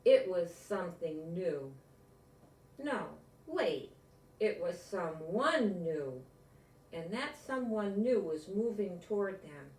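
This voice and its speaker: A woman's voice: fairly low pitch, big pitch swings, slow, a little mellow. An older woman reading a picture book aloud to children, expressively, with little asides and questions to the listeners. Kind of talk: animated read-aloud to children. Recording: echoey room, distant mic.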